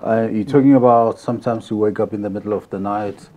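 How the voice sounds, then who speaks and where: Man, middle-aged interview host, slightly low pitch, soft dark tone, casual questioning, faint background noise. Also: fairly even intonation, fast talker.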